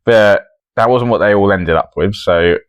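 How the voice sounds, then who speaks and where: A man's voice: lowish voice, normal speed, clean tone. A man co-hosting a podcast, chatting casually and commenting on contestants' attempts. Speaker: podcast co-host.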